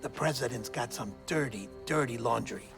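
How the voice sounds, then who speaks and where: A man playing an earnest, creaky-voiced operative. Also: mid-range voice, normal speed, lightly acted. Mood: confiding, wry. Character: earnest, wry male intelligence operative briefing an old comrade. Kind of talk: cinematic video game cutscene acting. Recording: game music behind the voice.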